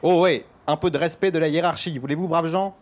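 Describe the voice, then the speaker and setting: An actor playing a bossy, blustering government minister in a satirical audio drama, talking in pompous slang. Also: male voice, a little high, steady tone, fairly quick, lightly acted. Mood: smooth-talking, pompous. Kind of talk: French satirical audio fiction acting.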